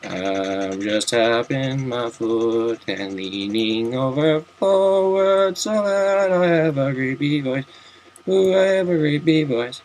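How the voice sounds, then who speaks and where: A young man talks through a retro game in a relaxed, rambling way. He cracks self-deprecating jokes and wanders into asides. His voice sits at an ordinary middle pitch. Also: slow, slight background noise. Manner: chatty, self-mocking, jokey asides. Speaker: let's play commentator. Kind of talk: casual gameplay commentary.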